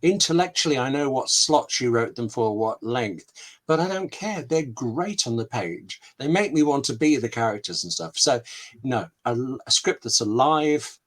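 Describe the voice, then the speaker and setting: A man's voice: mid-range voice, animated, normal speed, a bit bright. A man answering interview questions as a scriptwriter guest on an online webinar, candid and self-deprecating, speaking in short emphatic phrases.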